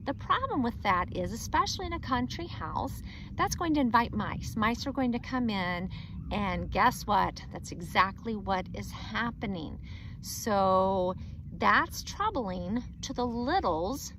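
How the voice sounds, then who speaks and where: A woman teacher reading a chapter book aloud to students, pausing to ask them questions. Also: medium pitch, background noise. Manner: expressive, asks students questions. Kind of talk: read-aloud lesson for children.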